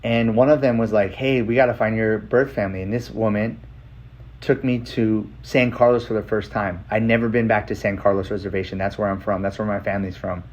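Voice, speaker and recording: A man's voice: fairly low pitch, fairly even intonation, a little fast, darkish tone. An American man telling his own life story casually, with a blunt, emphatic manner and short repeated phrases.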